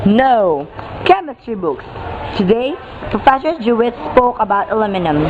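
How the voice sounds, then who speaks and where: A woman's voice: a little high, natural voice. A woman playing a restless, bookish young male college student in an amateur radio play, speaking plainly and earnestly. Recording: dull lo-fi audio, noisy background.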